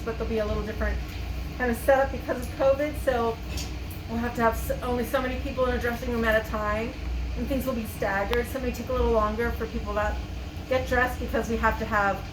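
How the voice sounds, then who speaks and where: A woman with a slightly high voice talks as an interviewed costume designer. Her delivery is casual and explanatory. The recording is echoey, with clear background noise. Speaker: costume designer and theater professor being interviewed. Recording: echoey, noisy room recording.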